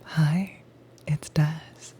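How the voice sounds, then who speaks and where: A woman with a breathy voice, speaking softly to her listeners in a thank-you outro as a voice actor. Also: deep voice, fairly even intonation, very slow, slight background noise.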